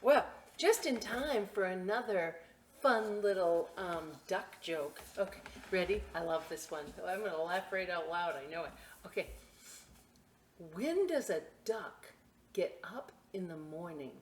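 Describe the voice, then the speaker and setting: A woman leads a children's story time at an unhurried pace. Her delivery is playful and very animated, slipping from reading into chatty asides and questions. There is some clear noise behind her voice. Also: lowish voice. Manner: playful, expressive, asks and answers her own questions.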